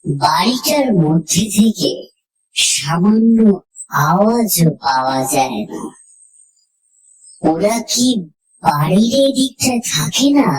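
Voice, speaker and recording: A woman narrating a scripted horror story in the first person, reading dramatically and deliberately with suspenseful pauses. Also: medium pitch, big pitch swings, low-quality recording.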